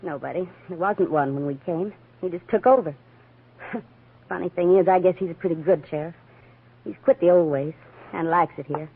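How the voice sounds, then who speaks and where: An actress playing a weary, anxious frontier woman with plain folksy speech and a husky voice in a 1950s radio western. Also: lowish voice, normal speed. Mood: confiding, tense. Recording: narrow vintage 1950s radio recording.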